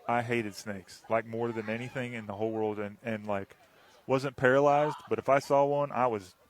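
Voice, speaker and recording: A man's voice: medium pitch, fairly even intonation, moderate pace. A male radio sports broadcaster from Texas, bantering casually in an easygoing, conversational way. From Waco, Texas.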